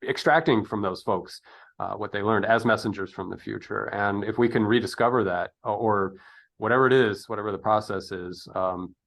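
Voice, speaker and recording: A man in casual, reflective podcast conversation, speaking in wordy, philosophical phrases with halting turns. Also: on the low side, gravelly, a bit bright. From United States. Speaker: podcast interviewer.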